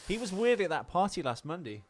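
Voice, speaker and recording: A man's voice: high-pitched, sing-song, fast talker, a crowd in the background. A man in his forties co-hosting a podcast in casual, rambling chat. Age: 40s. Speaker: podcast co-host.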